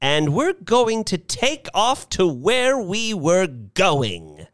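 A woman's voice: fairly low pitch, big pitch swings, takes it slowly, no echo, clean studio sound, pitched above the performer's own voice. A narrator voicing an indignant, bossy and proud old woman in her eighties, with an emphatic, declamatory delivery in a story reading. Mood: resolute, rallying.

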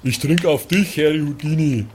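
A man's voice: fairly high pitch, normal speed, a little mellow, a little hiss or hum, close to an everyday voice, low-quality recording. A man playing a jolly, simple-hearted spectator, chatty and folksy, in an improvised audio play. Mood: cheerful, supportive.

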